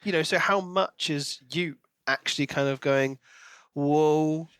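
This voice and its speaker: A man's voice: a little high, measured pace, a bit bright, clean tone, dry recording, studio quality. A man co-hosting a podcast, talking through a book in casual chat and posing questions as he goes. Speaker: podcast co-host.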